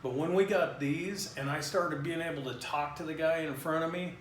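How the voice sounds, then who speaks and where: A man's voice: fairly high pitch, steady tone, moderate pace, a bit bright. A man giving a casual, unscripted how-to in plain, informal language, as a hobbyist presenter. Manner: plain-spoken, informal, colloquial. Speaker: hobbyist presenter demonstrating a DIY headset build. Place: roomy garage. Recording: roomy echo, distant mic, faint noise.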